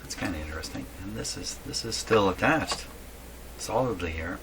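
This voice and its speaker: Low-voiced man thinking aloud, noisy recording. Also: animated. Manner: halting, thinking aloud to himself. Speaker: host of a radio repair channel. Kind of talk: casual hands-on repair narration. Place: indoors at a home workshop. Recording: clearly noisy room recording.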